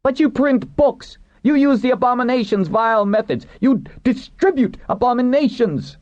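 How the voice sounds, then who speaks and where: Shrill fanatical captive zealot. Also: male voice, expressive, very slow, fairly bright tone, exaggerated voice. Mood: frantic defiance. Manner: crying out wildly. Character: fanatical male captive zealot, frightened yet defiant. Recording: roomy, dull muffled recording.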